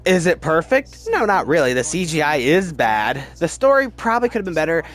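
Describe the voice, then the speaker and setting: A man's voice: fairly high pitch, very animated, fairly quick. A man hosting a casual, off-the-cuff ranking video, rambling through his opinions and restarting sentences as he goes. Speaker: video host reviewing films.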